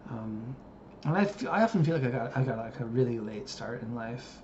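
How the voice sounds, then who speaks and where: A man's voice: medium pitch, expressive, a little fast, darkish tone. A man in his thirties thinking aloud in a casual personal vlog, hesitant and musing, with frequent fillers. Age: 30s. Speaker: vlogger. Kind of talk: reflective personal monologue. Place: living in Japan. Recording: dull lo-fi audio with background noise.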